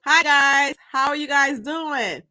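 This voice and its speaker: An expressive, theatrical woman hosting story time, moving between dramatic narration and casual chat. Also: high voice, slow. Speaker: author and host of live story time.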